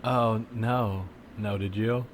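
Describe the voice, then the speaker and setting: A man playing an earnest, mild-mannered hospital worker out jogging in an improvised comedy scene, speaking casually in a halting, tentative way. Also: fairly low pitch, steady tone. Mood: casual, awkward. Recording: background noise of outdoor soundscape. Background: added outdoor ambience soundscape.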